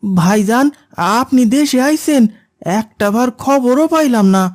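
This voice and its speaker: Casting: woman to play friendly cartoon village lad, high voice, rural Bangladeshi accent, humble and eager. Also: lively intonation, measured pace, a little mellow. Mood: concerned. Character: friendly, grateful, respectful young village man. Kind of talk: cartoon voice acting.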